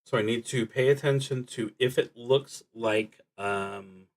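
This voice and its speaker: A man streaming a solo tabletop roleplaying game, thinking aloud and reading out prompts in a halting, deliberate way with long pauses. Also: medium pitch.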